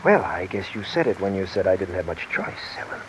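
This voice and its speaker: A man playing a guarded, polite, smooth-talking crooked assistant in 1950s radio mystery style. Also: deep voice, a little fast, poor audio. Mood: resigned, terse. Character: guarded, smooth-talking embezzling male assistant under pressure. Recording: old radio recording with steady rain noise. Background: rain falling.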